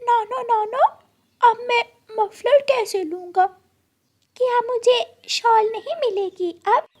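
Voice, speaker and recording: A woman's voice: very high voice, slow, a little mellow, pitched above the performer's own voice. A woman voicing a small, eager bird child in a cartoon, with a pleading, childlike manner.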